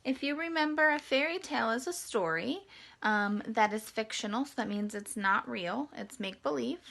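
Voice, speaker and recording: A woman with a fairly high, crisply bright voice leads a storytime for young children. She reads expressively and keeps her explanations simple. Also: moderate pace.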